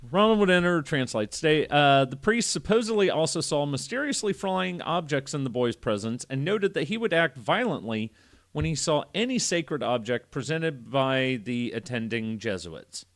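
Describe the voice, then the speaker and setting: A man's voice: fairly high pitch, expressive, moderate pace. A man hosting a casual podcast, reading a story aloud with irreverent, foul-mouthed joking asides. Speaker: podcast host.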